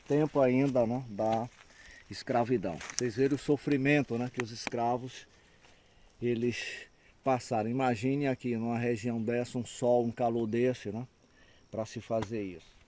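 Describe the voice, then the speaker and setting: A man's voice: mid-range voice, a little mellow. A man with a northeastern Brazilian accent gives an unscripted, explanatory tour outdoors as a local guide, speaking didactically with frequent check-in tags. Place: outdoors in open rural land. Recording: clearly noisy outdoor field recording with clicks.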